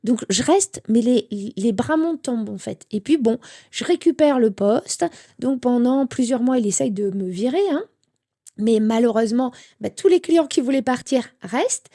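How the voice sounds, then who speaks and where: A woman in her thirties recounts her experience as an interview guest. Her voice sits a little high but sounds mellow and warm, and she slips into the voices of others as she quotes them. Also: normal speed. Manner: animated storytelling with quoted dialogue. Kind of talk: candid personal story.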